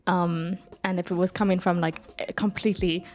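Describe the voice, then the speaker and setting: A young woman with a slightly low voice talks hesitantly, pausing and searching for words. It's a relaxed, casual chat with faint music under it.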